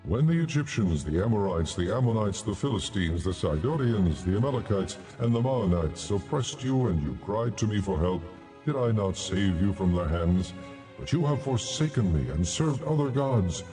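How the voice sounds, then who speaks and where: An actor playing God as stern, solemn and reproachful in a dramatized audio Bible. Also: male voice, sits low, sing-song, normal speed, warm tone, far from the mic, soft background music.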